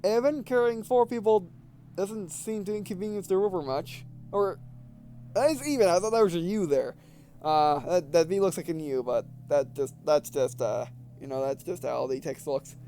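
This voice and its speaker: High-voiced man, halting game commentary. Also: dark tone, faint music behind. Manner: halting, stumbles over words and rereads. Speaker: gameplay video host. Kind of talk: reading game text aloud with casual commentary.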